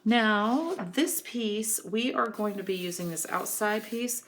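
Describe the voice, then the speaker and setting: A woman with a scratchy voice from a cold, giving a relaxed, chatty scrapbooking tutorial. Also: mid-range voice, takes it slowly. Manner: relaxed, chatty, many ums. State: getting over a cold, scratchy voice. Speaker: craft instructor.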